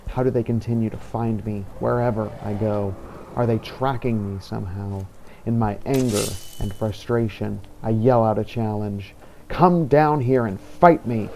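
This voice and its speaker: A man voicing a brooding, defiant fantasy hero, narrating his own game adventure in a deliberate, dramatic way. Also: lowish voice, gravelly. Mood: defiant shouting. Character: brooding male dragon-slaying hero, weary and defiant. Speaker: role-playing gamer narrating as his character. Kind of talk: first-person in-character scripted narration. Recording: faint background noise.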